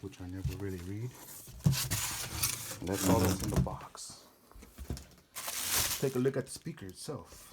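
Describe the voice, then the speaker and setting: A man's voice: sits low, a little slow, long pauses, heavy background noise. A man giving a casual, plain-spoken product unboxing in the informal way of a working DJ presenter. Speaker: DJ rental business presenter.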